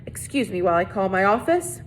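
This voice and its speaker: A woman's voice: big pitch swings, brisk, lightly acted. A woman reading a storybook, voicing an authoritative man, a formal law official taking charge. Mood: stern. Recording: noisy recording with low rumble.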